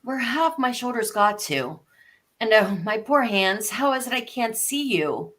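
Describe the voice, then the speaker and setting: A woman's voice: on the high side, expressive, a little slow, fairly bright tone. A woman voicing a polite, bewildered but plucky little girl in a storybook live read, earnest and prim. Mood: puzzled. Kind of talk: live reading of an abridged classic story.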